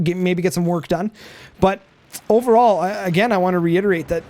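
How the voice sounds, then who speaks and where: A man giving rambling play-by-play commentary on a strategy game, hoarse and losing his voice, full of fillers and restarts. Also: high-pitched, darkish tone.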